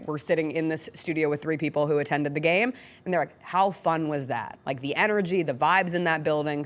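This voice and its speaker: A woman's voice: sits low, smooth. American woman hosting casual sports talk. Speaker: sports talk show host. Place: radio-style studio.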